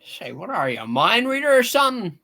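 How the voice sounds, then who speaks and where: Troubled, skeptical everyman, male performer: sharp, animated, folksy stammering delivery, hammy old radio-play feel. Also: sits high, fairly quick, vocal fry, heavily acted. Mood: wary, incredulous. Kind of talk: hammed-up radio play reading.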